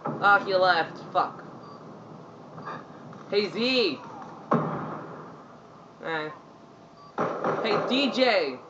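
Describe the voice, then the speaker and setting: A man trolling over game voice chat in a put-on squeaky, whiny, childish voice. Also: animated, extremely slow, pauses often, muffled recording, noisy background. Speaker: gamer trolling in online voice chat. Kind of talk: prank voice chat during online shooter.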